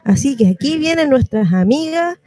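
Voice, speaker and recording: A woman's voice: sits high, animated, normal speed, warm tone. A Chilean woman hosting a radio show in a colloquial, chatty style. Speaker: radio host. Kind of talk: casual radio chat.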